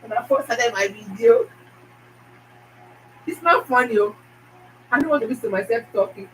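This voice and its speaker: Nigerian woman vlogging, fast and emphatic, says things twice, mic kinda far off. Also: on the high side, expressive, slight background noise. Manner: emphatic, repeats phrases, fast-talking. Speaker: YouTube channel host. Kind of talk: casual motivational talk to viewers.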